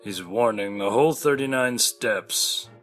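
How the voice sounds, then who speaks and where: A man's voice: on the low side, a little slow, fairly bright tone, faint music behind. An actor playing a curt, businesslike villain's henchman in an audio play. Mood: curt, conspiratorial. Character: curt, businesslike male henchman hunting fugitives.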